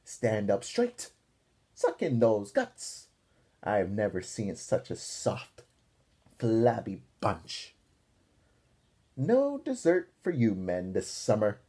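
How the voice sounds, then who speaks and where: A man reading a storybook, voicing a gruff male camp counselor who barks scornful, drawn-out commands like a drill sergeant. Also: fairly low pitch, wildly swooping pitch, dark tone, full character voice. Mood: barking, scornful.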